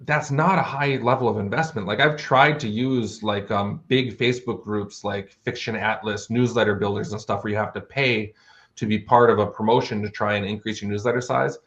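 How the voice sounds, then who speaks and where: A man's voice: lowish voice, fairly even intonation, normal speed, fairly bright tone, highs cut off. A man chatting casually as an interview guest, explaining things in an informal, conversational way. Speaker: interview guest, fantasy author.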